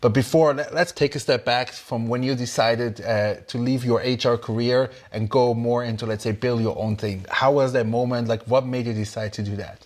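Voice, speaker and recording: A man hosting a startup interview show, speaking with enthusiasm and some halting restarts. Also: medium pitch, steady tone. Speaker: podcast host.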